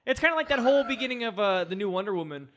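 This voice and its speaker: A man's voice: sits high, sing-song, racing, a crowd in the background. A man co-hosting a film podcast, discussing movies in a casual, conversational way.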